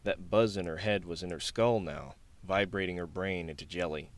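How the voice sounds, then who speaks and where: Male audiobook narrator, fairly low pitch, scripted storytelling, prose fiction. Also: moderate pace.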